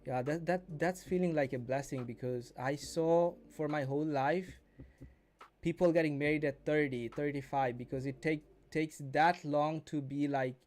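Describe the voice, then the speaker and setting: A man's voice: on the high side, fairly even intonation, moderate pace, darkish tone, faint music behind. A man in his twenties hosting a casual live stream as a mentor, teaching and chatting to viewers, repeating and rephrasing as he goes. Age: 20s. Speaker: day trading mentor and live stream host.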